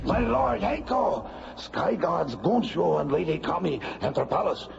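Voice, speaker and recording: A man's voice: on the high side, expressive, measured pace, creaky, roomy sound, exaggerated voice. An actor playing a nervous, servile alien henchman in a radio drama, pleading anxiously in broken English. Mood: panicked. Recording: muffled old radio drama with loud music.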